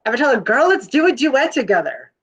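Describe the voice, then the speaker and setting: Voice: high-pitched, sing-song, fast talker, fairly bright tone, breathy edge. A guest on a casual talk show, answering in a playful, breezy way. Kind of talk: casual interview. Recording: muffled call audio.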